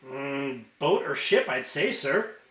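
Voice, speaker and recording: A man's voice: medium pitch, expressive, slow, pushed and bright. Guy doing a centaur tech nerd from a storybook, smug, bit sullen, plain delivery, roomy echo. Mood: hesitant. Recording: roomy, echoing home recording.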